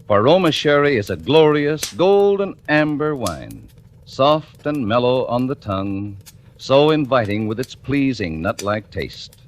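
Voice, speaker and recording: An American male announcer delivers an old-time radio advertisement with polished, measured phrasing. His pitch swings very widely, and faint noise sits on the vintage recording. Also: sing-song. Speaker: commercial announcer. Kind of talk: 1940s radio advertisement read.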